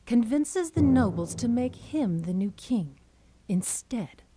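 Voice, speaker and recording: A woman's voice: fairly high pitch, expressive, slow pace, noisy background. A woman narrating a puppet play as a scripted storyteller. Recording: music behind the voice. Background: clear background music.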